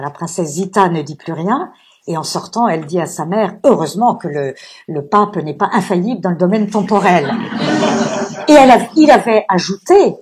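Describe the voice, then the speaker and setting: A woman with a husky voice giving an unscripted talk as a guest speaker, earnestly explaining with anecdotes and asides. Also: lowish voice, a little mellow. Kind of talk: public lecture discussion, unscripted.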